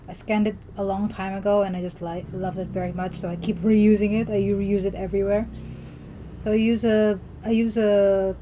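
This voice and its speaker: A young woman from the Philippines talks casually during a drawing livestream, her tone mellow and warm rather than bright. She rambles, restarts her sentences and leans on filler words. Faint keyboard typing sits in the background. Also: medium pitch, slight background noise, rough recording. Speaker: artist streaming while drawing.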